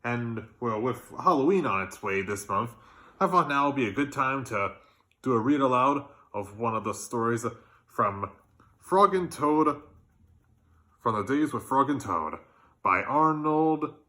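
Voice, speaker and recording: A man reading a storybook aloud for children in a deliberate, expressive way. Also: mid-range voice. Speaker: storybook reader. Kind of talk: children's read-aloud narration.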